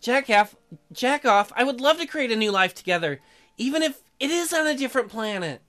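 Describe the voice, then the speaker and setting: A man's voice: very high-pitched, lively intonation, fairly quick, sharp tone, faint music behind, full character voice. A man voicing a doubtful, loving young woman in a visual novel, hesitant and anxious. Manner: hesitant, incredulous.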